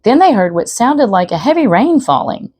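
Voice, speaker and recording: A woman's voice: fairly high pitch, sing-song, normal speed, a little mellow, slightly rough, no room sound. A woman storyteller reading aloud expressively, with chatty asides to the listener. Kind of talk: expressive read-aloud narration.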